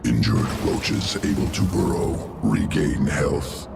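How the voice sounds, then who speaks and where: An actor playing a cold, clinical insectoid alien in a video game, growling in clipped, telegraphic phrases. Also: male voice, big pitch swings, slow pace, full character voice. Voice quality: very deep gravelly growl. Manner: clipped telegraphic speech without articles. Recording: loud music under the voice.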